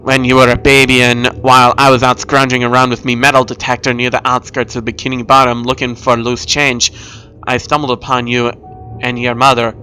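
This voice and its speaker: Male reader playing old cartoon crab father, salty sailor talk, sorrowful, bright voice, music behind. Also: medium pitch, little pitch movement, brisk, natural voice, poor audio.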